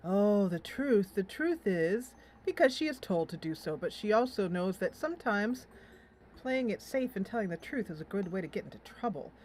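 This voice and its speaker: A woman's voice: medium pitch, expressive, normal speed, a little mellow, slight background noise. A woman hosting a casual let's play, thinking aloud in a playful, giggly way as she comments on the game. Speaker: host of a gaming channel.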